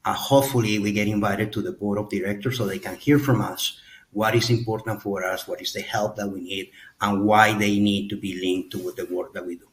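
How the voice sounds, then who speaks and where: A middle-aged man speaks as a webinar panelist, his voice sitting a little low. He talks in a measured, thoughtful way, building his points step by step. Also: steady tone, rough recording.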